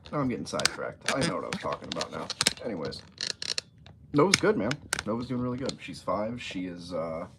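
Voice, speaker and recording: Stuffy-nosed low-voiced man streaming. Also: very animated, a little fast, very noisy. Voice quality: nasal, stuffy. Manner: rambling, joking, easily sidetracked. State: congested from a cold. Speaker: livestreamer. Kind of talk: casual livestream chat. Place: his home room.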